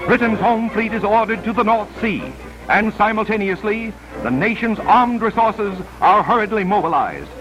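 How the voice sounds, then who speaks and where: A man's voice: high-pitched, animated, normal speed, poor audio. A man narrating a 1930s newsreel in a dramatic, solemn, declamatory style on a vintage soundtrack. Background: newsreel music.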